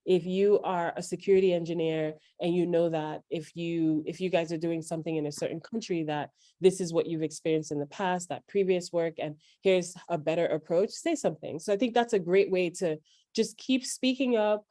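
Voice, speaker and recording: A woman with a Nigerian accent blended with New York speech talks in a low, mellow voice. She gives advice earnestly and directly. The recording is dry and close. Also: fairly even intonation, moderate pace, no echo. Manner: earnest, advising, speaks up plainly. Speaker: guest. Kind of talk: interview conversation.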